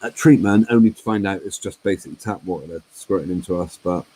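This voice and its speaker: A man's voice: sits low, quick pace, warm tone, slightly rough, rough recording. A man hosting a call-in chat, musing aloud and hesitant with many fillers. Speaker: host.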